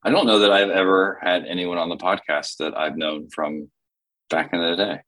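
Man host, very deep voice, halting, stumbles through questions. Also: fairly even intonation, a bit bright. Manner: halting, many fillers and restarts. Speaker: podcast host. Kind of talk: casual interview.

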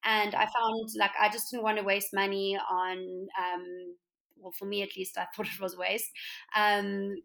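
A woman's voice: medium pitch, little pitch movement, a little slow, gravelly, a bit bright, poor audio. A young South African woman, an interior designer guest, chatting casually in an interview with lots of fillers. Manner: chatty, rambling with many fillers.

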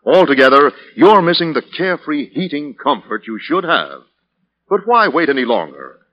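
A man's voice: on the high side, sing-song, moderate pace. Vintage radio announcer, male, emphatic and dramatic, with swooping intonation and muffled old-broadcast sound. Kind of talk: 1940s radio scripted announcing. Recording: dull 1940s radio recording.

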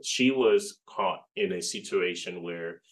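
Deep-voiced man in his forties. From Puerto Rico. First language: Spanish. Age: 40s. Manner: reflective, unhurried storytelling with frequent "you know". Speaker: guest, game developer. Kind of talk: casual interview chat.

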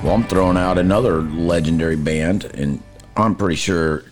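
A man's voice: low voice, normal speed. A man co-hosting a casual chat with playful, competitive banter. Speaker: co-host. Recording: loud music playing under the voice. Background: music.

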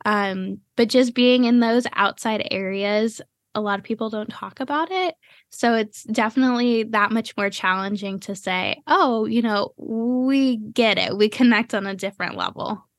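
A woman hosting a podcast in casual interview chat, talking quickly with lots of fillers. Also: fairly high pitch, no room sound, studio quality. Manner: chatty, quick, with frequent "you know" fillers. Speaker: podcast host.

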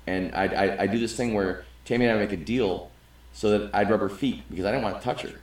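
A middle-aged man with a lowish voice, co-hosting a podcast in a loose, rambling way. He restarts his sentences often as he talks earnestly. The room around him has a noticeable echo. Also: fast. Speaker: podcast co-host. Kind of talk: casual conversation. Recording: roomy, echoey sound.

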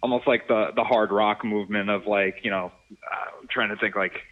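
A man's voice: on the low side, steady tone, fairly quick. A man in his thirties from Rhode Island, a guest in a casual interview, thinking aloud and searching for words. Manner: thoughtful, searching for words, many fillers. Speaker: guest, sound engineer and producer. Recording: tinny phone-like remote call.

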